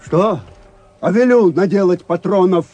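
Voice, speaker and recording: An actor playing a proud, hurt old army staff captain in a radio play, gruff, brusque and bitter. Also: male voice, high-pitched, measured pace, darkish tone, lo-fi sound. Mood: scornful. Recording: noisy old radio drama recording.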